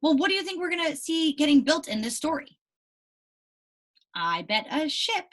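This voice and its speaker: A woman teacher giving a read-aloud lesson to young children, animated and explanatory with frequent check-in questions, in a slightly husky voice. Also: high voice, normal speed. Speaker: elementary school teacher.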